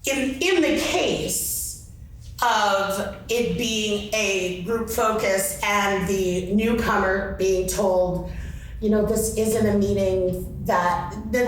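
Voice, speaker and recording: A woman speaking off the cuff in a panel discussion, phrasing her thoughts haltingly and reflectively. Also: medium pitch. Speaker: panelist at a fellowship convention. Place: convention meeting room. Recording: echoey room from far off, faint noise.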